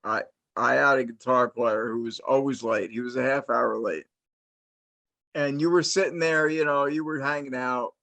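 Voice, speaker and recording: A man's voice: mid-range voice, normal speed, vocal fry, sharp tone, dry recording. A middle-aged man from New Jersey hosting a casual interview, telling long stories with many restarts and fillers. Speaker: host, interviewer.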